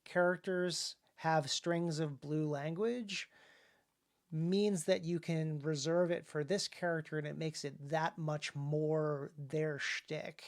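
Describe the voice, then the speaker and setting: A man co-hosting a casual livestream discussion as an author, in a halting, discursive way that often restarts phrases. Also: fairly high pitch, steady tone. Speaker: author and co-host of a writing talk show.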